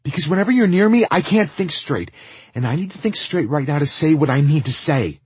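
Audiobook role: flustered young woman, performed by a man, raised pitch, husky, emotional and wounded. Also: moderate pace, dry recording. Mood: angry, flustered. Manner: emotional, expressive. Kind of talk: audiobook character acting.

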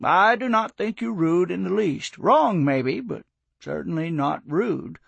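A good-humored old gentleman teases in a formal, courtly way, as a male narrator plays him. His voice rises and falls with a gravelly texture and a husky edge. The sound is close and dry. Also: high voice, expressive, measured pace, dry recording, lo-fi sound, pitched above the performer's own voice, full character voice. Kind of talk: audiobook character voice.

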